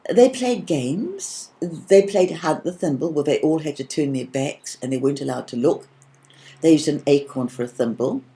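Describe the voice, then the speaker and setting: A woman voicing an eager, hesitant little girl who stammers as she tells fairy tales in a children's storybook. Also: deep voice. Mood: excited. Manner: halting, stammering, excitable.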